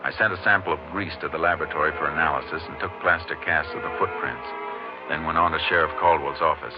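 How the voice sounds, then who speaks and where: A man playing a steady, observant Texas Ranger in a 1950s radio western, speaking in a clipped, matter-of-fact way. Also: a bass voice, fast, music in the background. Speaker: lead actor in a radio western. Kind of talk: 1950s radio drama acting and first-person narration. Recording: noisy, muffled vintage radio recording.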